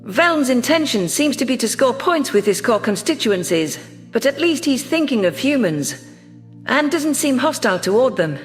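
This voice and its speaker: A man narrating a science fiction story in the first person, in an expressive, theatrical manner. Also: extremely high pitch, normal speed, soft background music.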